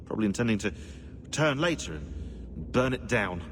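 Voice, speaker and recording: An actor playing a snide, excitable, pedantic British historian who rambles sarcastically in a video game. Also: male voice, lowish voice, normal speed, slight background noise, natural voice. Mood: indignant, animated.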